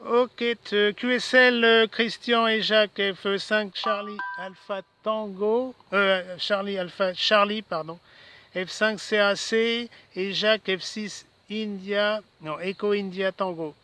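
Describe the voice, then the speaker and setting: A man's voice: sits high, steady tone, slow pace, sharp tone, smooth. A man chatting casually as an amateur radio operator outdoors, often repeating himself and adding little tags. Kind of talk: casual ham radio exchange. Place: outdoors in a city park. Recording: outdoor recording with faint ambient noise.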